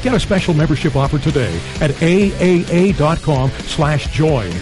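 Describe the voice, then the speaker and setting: A male announcer gives a scripted ad read in a polished, even way. His voice sits slightly high. Music plays clearly underneath him. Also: normal speed. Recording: voice over music bed.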